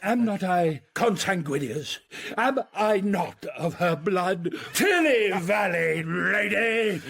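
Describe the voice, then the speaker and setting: Husky, boisterous drunken knight. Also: male voice, high-pitched, animated, takes it slowly, a bit bright, full character voice. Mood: merry, drunken. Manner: roistering, mocking. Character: boisterous, drunken, jovial knight kinsman of the lady. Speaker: actor. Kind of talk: Shakespearean radio comedy acting.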